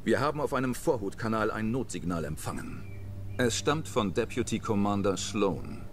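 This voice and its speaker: A man playing a grave, resolute military commander in a video game, speaking with solemn, measured authority. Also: on the low side, expressive, a little hiss or hum, natural voice. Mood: grave, determined. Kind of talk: scripted game narration and mission briefing.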